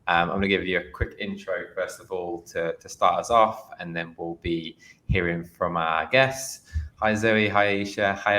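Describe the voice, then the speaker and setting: A man's voice: low-pitched, a bit bright, rough recording. A young man hosting an online careers webinar, chatty and upbeat, with frequent ums and restarts. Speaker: host.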